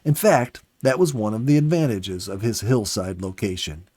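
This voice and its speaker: Male narrator for storybook reading: animated, wide-ranging intonation, mid-pitched, deliberate delivery, close dry sound. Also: dry recording.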